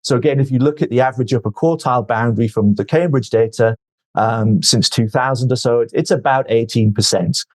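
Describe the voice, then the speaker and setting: Guy on a podcast talking investing, steady mid voice, kinda measured, repeats words starting out. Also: mid-range voice. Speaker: venture investor guest. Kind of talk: podcast interview answers.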